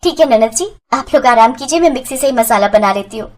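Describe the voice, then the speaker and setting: A woman's voice: on the high side, expressive, fast, close to an everyday voice. A woman voicing a good-natured newlywed daughter-in-law, earnest and accommodating, in a storybook tale. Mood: eager, obliging.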